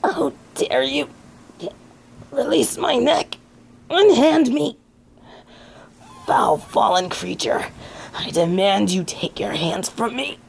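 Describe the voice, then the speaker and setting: A woman's voice: fairly high pitch, takes it slowly, creaky, pauses often, a little hiss or hum. A woman playing a snobby, indignant fallen angel in an audio roleplay, with pompous, formal, archaic phrasing and an easily affronted manner. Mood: outraged. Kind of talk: scripted audio roleplay acting.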